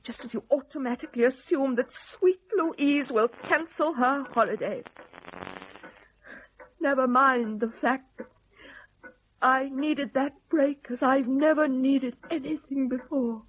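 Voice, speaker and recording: A woman playing a resentful, long-suppressed middle-aged daughter in a radio drama, with a British accent, a husky voice and pointed, sarcastic questions. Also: high-pitched, measured pace, slight background noise, low-quality recording. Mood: hurt, reproachful.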